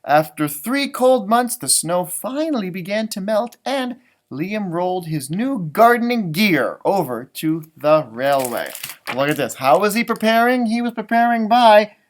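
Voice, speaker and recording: A man's voice: high voice, expressive, measured pace. A man with an American accent reads a children's picture book aloud like an elementary teacher, stretching words for emphasis and adding chatty, simple explanations. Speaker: elementary music teacher reading storybooks aloud.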